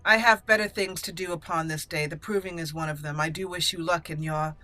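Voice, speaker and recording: A woman puts on a self-assured young dwarven lady from a fantasy game. She speaks formally and imperiously, with a bright, pointed tone. Music runs behind. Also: on the low side, fairly even intonation, fairly quick. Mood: dismissive.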